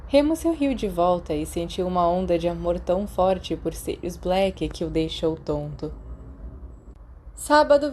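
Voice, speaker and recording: A Brazilian woman narrates a story from a script. Her voice sits a little lower than most women's. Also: mellow, slight background noise. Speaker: podfic narrator.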